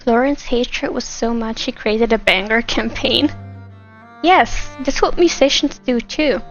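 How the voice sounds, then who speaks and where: Casual female game streamer, friendly and unhurried, slightly dull mic over game music. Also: fairly high pitch. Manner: friendly, giggly, conversational. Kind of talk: casual livestream chat. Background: game music and dialogue.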